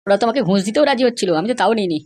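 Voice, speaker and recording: A woman's voice: mid-range voice, lively intonation, racing, a little mellow, natural voice. A woman playing an evasive, scheming male schoolmaster who placates and repeats himself in a comedy cartoon. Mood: placating, evasive. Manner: placating, repetitive.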